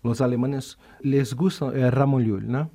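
Slow, mellow Brazilian man. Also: mid-range voice. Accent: Brazilian Portuguese, Rio de Janeiro. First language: Portuguese. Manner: thoughtful, hesitant, with fillers and repeated words. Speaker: university art history professor. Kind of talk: radio interview guest.

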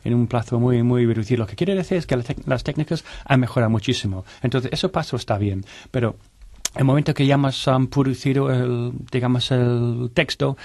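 A man's voice: medium pitch, a little fast, darkish tone. Explanatory man, self-correcting as he goes. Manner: explanatory, restarts words often. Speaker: director of a technology innovation centre. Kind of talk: round-table discussion. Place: studio table with other guests.

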